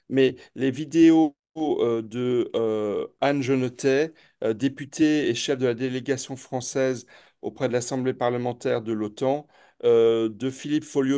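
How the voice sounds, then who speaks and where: A man hosting a live public debate, speaking in a halting way and often repeating words as he moderates. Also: medium pitch, warm tone, clean tone.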